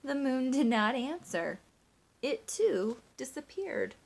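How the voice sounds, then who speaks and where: A woman's voice: high voice, very animated, slow, vocal fry. A woman teacher reading a picture book expressively and walking young listeners through simple sums step by step, prompting them with questions. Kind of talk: expressive storybook read-aloud mixed with simple math teaching.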